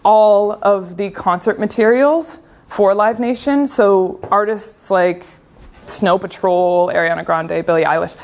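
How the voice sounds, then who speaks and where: A woman's voice: medium pitch, a little slow, a little mellow. A young woman with a Canadian accent giving a casual, chatty conference talk on design. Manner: casual, chatty with frequent fillers. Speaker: conference speaker.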